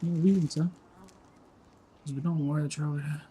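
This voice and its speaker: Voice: medium pitch, flat delivery, moderate pace, dark tone, slight background noise. A live game streamer chatting casually, with informal, muttered reactions during play. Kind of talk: casual gaming livestream chat.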